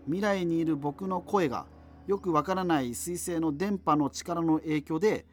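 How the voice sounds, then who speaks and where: A man playing an amateur solo radio host in a doomed future, chatting in a rambling, self-interrupting way in a radio drama. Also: a little high. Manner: rambling, self-interrupting, digressive, casual asides. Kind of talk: conversational radio monologue in a scripted radio drama.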